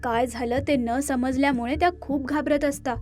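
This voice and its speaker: A woman narrator reading a scripted moral tale for an animated story. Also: high-pitched, moderate pace, soft background music.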